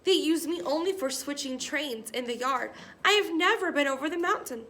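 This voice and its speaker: A woman's voice: high-pitched, animated, moderate pace, a bit bright. A woman voicing a small, kind, determined female blue engine in a storybook.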